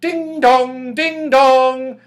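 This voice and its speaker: Man putting on a high, chanting chiming clock. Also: fairly even intonation, drawn-out words, full character voice. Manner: chanting chime-like words. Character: sentient walking clock, chiming ding-dong, eager and helpful. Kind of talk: children's storybook voice.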